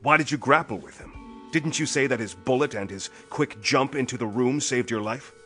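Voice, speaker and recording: An actor playing a hard-nosed, suspicious police detective in a pulp thriller audio drama, firing insistent, probing questions. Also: male voice, medium pitch, normal speed, pushed and bright, faint music behind, lightly acted.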